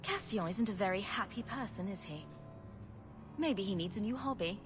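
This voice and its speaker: A woman's voice: mid-range voice, animated, normal speed, lightly acted. A woman playing a wry, inquisitive adventurer in a video game, blunt and good-humoured. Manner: wry, questioning. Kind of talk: video game hero dialogue. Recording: loud music behind the voice.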